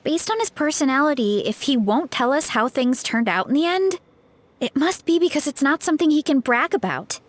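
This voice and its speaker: Young adventurer gal, high voice that goes up and down, doubting and working things out. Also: female voice, expressive, brisk, a little hiss or hum. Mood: skeptical. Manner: reasoning, skeptical. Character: young woman adventurer, thoughtful and reasonable. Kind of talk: game voice acting.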